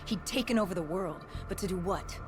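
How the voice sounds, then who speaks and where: An actor playing a grim, battle-worn cybernetic soldier, recounting humanity's fall in brooding, measured game narration with a gravelly edge. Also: medium pitch, music in the background, lightly acted. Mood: grave, tense. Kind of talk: scripted dramatic game narration.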